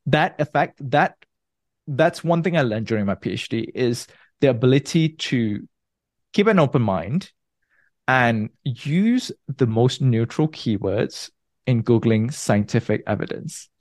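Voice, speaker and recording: A man from Malaysia in his thirties, a guest researcher giving measured, explanatory interview answers with a few restarts. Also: medium pitch, expressive, a little mellow, no room sound, studio quality.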